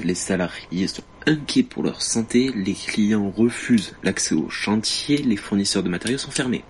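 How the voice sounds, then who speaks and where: A man reading a political editorial on the radio in a measured, critical, deliberate way. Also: on the low side, a little hiss or hum.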